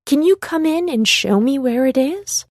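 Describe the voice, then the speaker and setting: A woman's voice: high voice, lively intonation, normal speed, no room sound, studio quality, pitched above the performer's own voice. A woman voicing an earnest, pleading little girl in a children's storybook. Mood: feigned helplessness.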